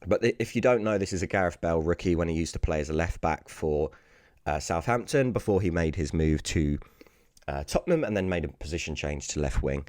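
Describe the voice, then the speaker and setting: Raspy, hoarse British man with swinging pitch. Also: darkish tone, no room sound, clean studio sound. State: hoarse, lost voice from screaming the night before. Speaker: sports card video host. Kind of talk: casual unboxing commentary.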